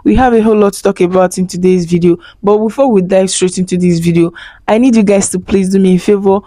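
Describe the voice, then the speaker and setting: Young woman vlog host, chatty, mellow tone, dry close sound. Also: medium pitch, steady tone, quick pace, no room sound. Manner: chatty, addresses viewers as guys. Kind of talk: commentary vlog.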